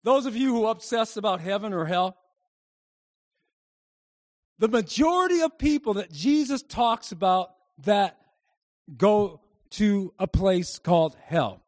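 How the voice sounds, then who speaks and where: A male pastor preaching to his congregation with a high, ringing voice. His delivery is emphatic, and he keeps prompting the crowd to respond. The sound is close and dry, with almost no room echo. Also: a little mellow. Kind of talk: sermon. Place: church service before a congregation.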